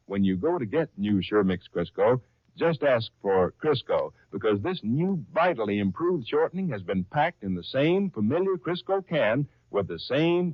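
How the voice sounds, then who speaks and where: A man's voice: medium pitch, dry recording. A man working as a radio announcer and narrator in 1930s style, reading from a script with theatrical emphasis. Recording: dull, lo-fi 1930s radio recording.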